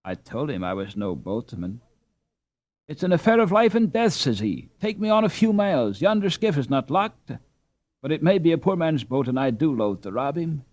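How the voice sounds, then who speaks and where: A man voicing an injured, dazed Dutch husband in an audiobook, halting and groping for words as he strains to remember. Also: a little high, sing-song, a little mellow, voice pushed up. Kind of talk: audiobook character dialogue.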